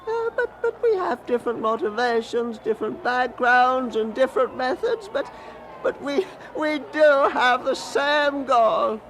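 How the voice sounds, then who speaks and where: An actor playing an elderly, gentle Indian independence leader in a radio drama, speaking earnestly and haltingly with repeated words. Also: male voice, very high-pitched, very animated, full character voice. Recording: noisy radio drama track with background ambience.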